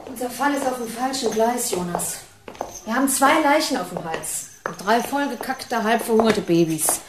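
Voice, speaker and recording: A woman's voice: on the high side, animated, normal speed, noisy background, lightly acted. A woman playing a sceptical, matter-of-fact partner of a detective in a radio play. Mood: sceptical, impatient. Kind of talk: German radio play dialogue.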